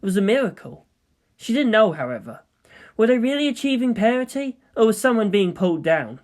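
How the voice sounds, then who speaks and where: A woman reading a story aloud as an audiobook narrator, in an expressive scripted style. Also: medium pitch, a little fast. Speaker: audiobook narrator of fan fiction.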